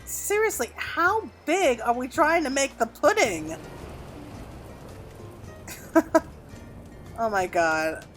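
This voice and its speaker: A woman giving casual, exclamatory gameplay commentary in an animated way. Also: high voice, slow pace, long pauses, faint music behind. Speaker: gaming video creator. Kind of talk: casual gameplay commentary with reading aloud of game dialogue.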